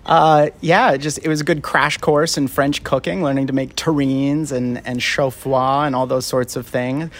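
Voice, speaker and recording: A man from Canada, a chef being interviewed on radio, speaking in a relaxed way with many hesitations. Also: mid-range voice. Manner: relaxed, halting with many fillers.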